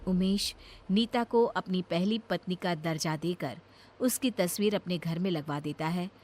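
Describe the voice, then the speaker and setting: Lowish-voiced woman narrating a dramatic story. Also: unhurried, slight background noise. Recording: loud background music.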